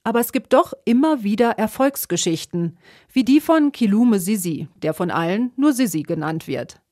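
A woman reporter reading scripted documentary narration in a measured, even way. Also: medium pitch, no room sound, clean studio sound.

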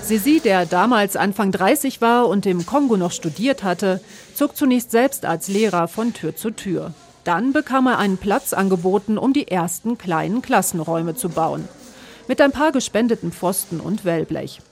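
A woman reporter reading scripted documentary narration in a measured, even way. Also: mid-range voice, faint music behind.

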